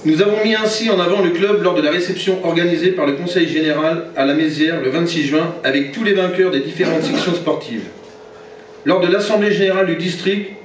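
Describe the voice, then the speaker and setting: A man's voice: on the high side, a little hiss or hum. A French man, a football club president, reading a formal speech at a reception and now and then stumbling over his notes. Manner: formal, reads from notes, stumbles and corrects himself. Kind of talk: formal prepared speech read aloud. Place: reception hall. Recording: very echoey hall from far off, dull sound.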